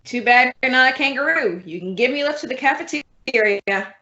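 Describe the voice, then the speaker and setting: A wisecracking schoolmarm acted by a woman from a radio comedy, dry and sardonic in her delivery. Her voice is high and bright, in a roomy recording. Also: animated, brisk, heavily acted. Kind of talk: old-time radio comedy acting. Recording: roomy, dull lo-fi sound.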